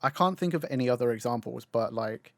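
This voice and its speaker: A man's voice: mid-range voice, expressive, a little fast, dry recording. Rambling young man, dry close mic. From Cantonese-speaking Chinese family. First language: Cantonese. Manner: rambling, swears casually, laughs mid-sentence. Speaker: podcast co-host. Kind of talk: casual chat.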